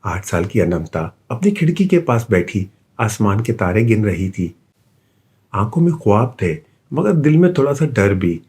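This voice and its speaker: A man narrating a scripted children's bedtime story with an expressive storytelling delivery. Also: lowish voice, a little slow, breathy edge.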